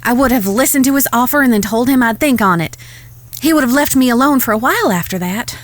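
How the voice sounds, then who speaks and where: A woman's voice: a little high, animated, quick pace, dry recording, lightly acted. A woman playing a proud, composed Old West ranch owner who speaks firmly in a Western audio drama. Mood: serious.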